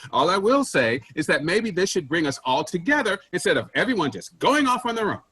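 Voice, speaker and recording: An overbearing office general manager, acted by a man in a play reading, pompous and argumentative. His voice sits fairly high and swings widely in pitch, with a husky edge. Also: very animated, brisk, sharp tone, dry recording, heavily acted. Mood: indignant, pompous.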